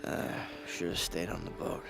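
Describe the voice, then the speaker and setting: An actor playing a stubborn, wry wounded American soldier in a war game, strained and faltering. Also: male voice, a little slow, music in the background, natural voice. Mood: weary, wry. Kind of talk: cinematic war game acting.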